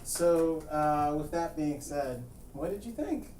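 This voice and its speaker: A woman's voice: low-pitched, lively intonation, takes it slowly, dark tone, a little hiss or hum. A woman reacting casually and jokingly as a co-host, with amused, incredulous interjections.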